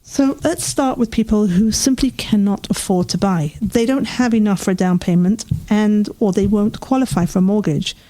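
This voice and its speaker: Host: woman, mid pitch, dark mellow timbre, steady interviewer. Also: normal speed, few pauses, low-quality recording. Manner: warm-up reading then lively reactions. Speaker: podcast host. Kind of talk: scripted intro then interview chat.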